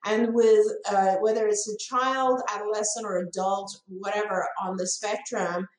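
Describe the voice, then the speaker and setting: A woman's voice: medium pitch, fairly even intonation, normal speed. Woman, non-native English speaker, earnest, thoughtful, roomy echoey sound. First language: not English. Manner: earnest, thoughtful, frequent restarts. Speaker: ABA program director. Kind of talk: live-stream Q&A answer. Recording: roomy echo.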